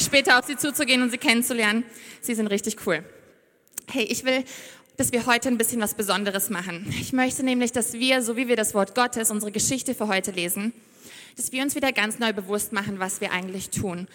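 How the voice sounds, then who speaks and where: A woman's voice: fairly high pitch, brisk, pushed and bright. A young woman from Siberia preaches a sermon on a church stage. She speaks in an effusive, heartfelt and enthusiastic way and reads aloud from the Bible. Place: church stage before a congregation. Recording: reverberant church hall.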